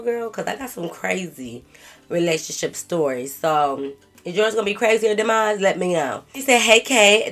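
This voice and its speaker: A woman's voice: fairly low pitch, a bit bright. Sassy congested woman vlogger. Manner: sassy, talks back to the letter in slang. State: congested from a cold. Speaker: storytime vlogger. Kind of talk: casual storytime, reading a viewer's letter and reacting.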